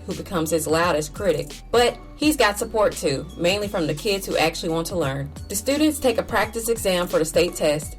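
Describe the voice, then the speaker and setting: A woman hosting a movie recap, giving scripted narration and commentary. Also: fairly low pitch. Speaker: movie recap host and reviewer.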